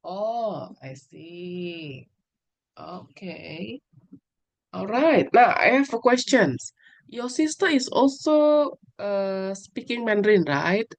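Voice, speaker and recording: A woman's voice: medium pitch, lively intonation, takes it slowly. A woman leading an online English class, asking students questions in an encouraging way and slipping in fillers. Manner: encouraging, mixes in Indonesian fillers. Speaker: English teacher.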